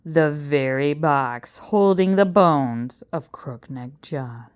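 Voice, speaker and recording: A woman voicing a pompous, menacing showman professor who conjures ghosts, with a commanding, drawn-out theatrical delivery in a storybook reading. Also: low-pitched, clear, resonant tone, voice pushed down. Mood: grandly summoning.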